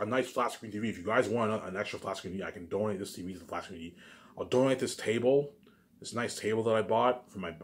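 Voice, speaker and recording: A man talks informally to his audience in a slightly low voice. He moves at a very quick clip, circling back on the same phrases and restarting them. His delivery is emphatic, rambling and peppered with swearing. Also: fairly bright tone. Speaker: vlogger. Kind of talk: rambling informal monologue to camera.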